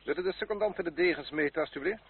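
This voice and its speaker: An actor playing a stiffly courteous marquis in an old radio play. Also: male voice, a little high, rapid-fire, creaky. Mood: stiff, formal. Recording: old radio recording, phone-like, noisy.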